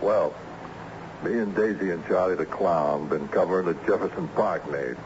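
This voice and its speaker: An actor playing a slangy, laconic, streetwise sidekick in a vintage radio drama. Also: male voice, low-pitched, normal speed, a little mellow, natural voice. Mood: laconic, coy. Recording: noisy, muffled old radio broadcast.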